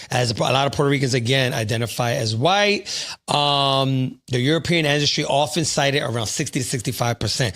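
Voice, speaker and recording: A man hosting a laid-back podcast, his voice sitting a little high for a man. He chats loosely and reads articles aloud, tripping over words now and then and dropping "bro" between sentences. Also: fairly bright tone. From United States. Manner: casual, says "bro" often, reads haltingly. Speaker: podcast host. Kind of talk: casual chat, reading articles aloud.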